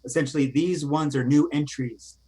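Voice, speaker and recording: A man's voice: a little high, fairly even intonation, normal speed, dark tone. Casual man calling in with a question. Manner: casual, a little unsure. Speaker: caller asking a question. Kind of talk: casual question on a video call. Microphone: computer mic on a video call.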